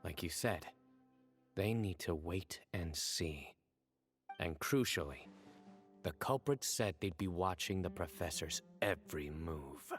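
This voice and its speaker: Stern, deliberate game lawman. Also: low voice, soft background music. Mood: serious. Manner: deliberate, authoritative. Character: stern young male lawman, composed, accusing interrogator. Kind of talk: video game voice acting.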